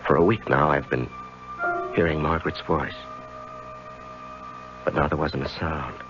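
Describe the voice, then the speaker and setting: An actor playing an anxious husband haunted by voices, in 1970s radio drama style, with a gravelly, hesitant delivery. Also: male voice, a bass voice, fairly even intonation, unhurried. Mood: brooding, confiding. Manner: hesitant, evasive, brooding first-person narration. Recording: dull 1970s radio recording, music behind.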